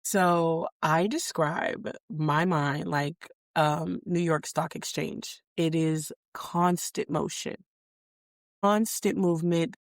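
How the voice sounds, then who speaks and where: A woman co-hosting a casual podcast, talking in an animated, rambling way full of "like" and quick asides. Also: deep voice, no room sound, clean studio sound. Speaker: podcast co-host. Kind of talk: casual chat.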